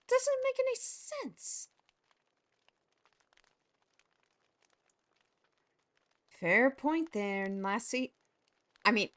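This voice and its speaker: A bold, teasing fantasy steward, played by a woman, talks in a playful, coaxing way. Her delivery is mid-pitched and leisurely, never rushed. She sounds like the lively lead of an audio drama. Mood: scheming.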